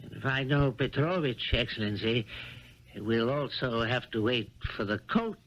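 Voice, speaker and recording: An actor playing a careful, obsequious office subordinate in a radio drama. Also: male voice, mid-range voice, lively intonation, a little slow, a bit bright. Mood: deferential. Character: deferential, tactful office subordinate to a grand superior. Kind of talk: 1970s radio drama acting. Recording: dull, lo-fi 1970s radio recording.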